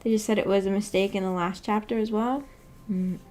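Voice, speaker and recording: A woman hosting a casual livestream discussion, musing tentatively out loud with a giggly manner. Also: mid-range voice, steady tone, fairly quick, faint music behind. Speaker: host of a book club stream.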